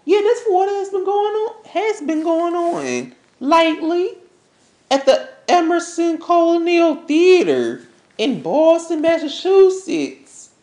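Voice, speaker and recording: A woman performs an angry, wounded woman in a relationship melodrama. Her voice is high and slow, stumbling and repeating as she accuses her cheating lover. The sound is roomy and echoey. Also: big pitch swings, darkish tone, heavily acted. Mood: indignant. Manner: stammering, repetitive, confrontational.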